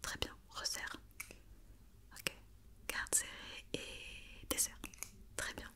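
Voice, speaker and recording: A woman whispering an ASMR roleplay as a doctor giving an examination, with soft, reassuring and encouraging step-by-step instructions. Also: takes it slowly. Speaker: ASMR performer playing an occupational doctor examining a robot.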